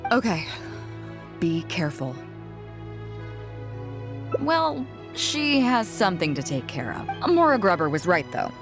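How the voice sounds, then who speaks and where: A woman's voice: fairly low pitch, very animated, slow, pauses often, music in the background, full character voice. A woman voicing a bold, confident captain of an armed pirate fleet in a video game, with a commanding, teasing manner. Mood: businesslike. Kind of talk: video game character acting.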